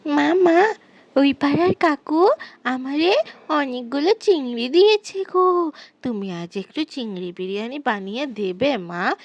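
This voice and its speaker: A woman's voice: sits high, expressive, measured pace, lo-fi sound. A woman voicing an eager young village girl in a cartoon, pleading in a childlike, sing-song way.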